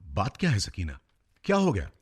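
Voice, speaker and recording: An actor playing a timid, hesitant police officer suitor in a radio drama, meek in manner. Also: male voice, fairly low pitch, huge pitch swings, moderate pace, breathy edge, full character voice. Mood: bewildered. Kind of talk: Hindi-Urdu radio drama acting.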